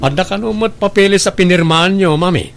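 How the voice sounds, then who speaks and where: A man's voice: high voice, brisk, gravelly, over music. A man playing a greedy, entitled young adopted son with an Ilocano accent in a radio drama. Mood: suspicious, alarmed.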